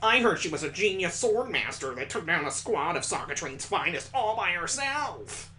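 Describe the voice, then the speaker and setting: A man voicing an excitable young schoolgirl in a visual novel, full of eager questions. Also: sits high, moderate pace, slight background noise, voice pushed up, heavily acted. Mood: eager, curious.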